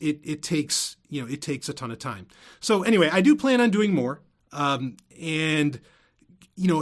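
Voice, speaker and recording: A man with a slightly high voice talks casually as a podcast host. He speaks off the cuff in a halting, rambling way, often interrupting himself and then landing on a point with informal emphasis. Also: fairly bright tone. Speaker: podcast host and audio drama creator. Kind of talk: casual unscripted podcast talk.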